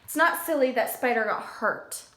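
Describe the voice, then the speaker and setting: A woman's voice: on the high side, lively intonation, normal speed, fairly bright tone. A woman preschool teacher reading aloud to young children, talking simply and putting questions to them. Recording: echoey room.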